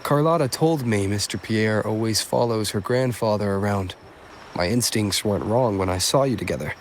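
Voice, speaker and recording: A man's voice: on the low side, moderate pace, a little hiss or hum, lightly acted. An actor voicing an observant, guarded man in a video game, speaking in a matter-of-fact, assured way. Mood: knowing, guarded.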